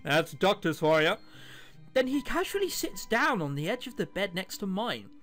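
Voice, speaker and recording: A man's voice: high voice, big pitch swings, moderate pace, a bit bright, soft background music. A British man hosting a let's play, reading a visual novel aloud and adding chatty, jokey commentary. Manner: jokey, chatty asides between read passages.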